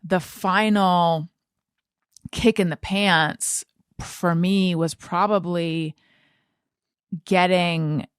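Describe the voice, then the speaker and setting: A woman's voice: on the low side, fairly even intonation, drawn-out words, long pauses, clear, resonant tone, no room sound, studio quality. A woman hosting a podcast, speaking in a casual conversational interview style. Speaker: podcast host.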